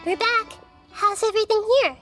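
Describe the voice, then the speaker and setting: A small, solemn child goddess from a game. Her voice is high, soft and girlish, her phrasing measured and thoughtful, over faint music. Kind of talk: video game character dialogue.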